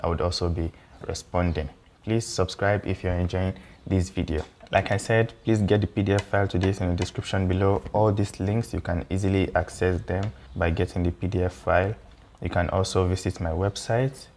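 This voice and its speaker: A young Nigerian man with a Nigerian accent and a very low, deep voice talks students through a list of topics. His delivery is conversational and easygoing, sprinkled with fillers and friendly reassurances. He sounds like he is recording at a desk indoors. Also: steady tone, brisk, darkish tone, a little hiss or hum. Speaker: YouTube educator for international students. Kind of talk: explainer video walking through slide topics. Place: indoor room with a pool table and windows.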